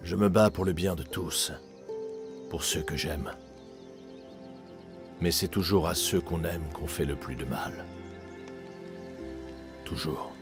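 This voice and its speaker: Weary old warrior dad in a video game, deep grave voice, takes his time. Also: over music. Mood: sorrowful, tender. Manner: solemn, measured. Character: Darius, weary older Persian warrior father, grave and haunted. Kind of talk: dramatic video game acting, French dub.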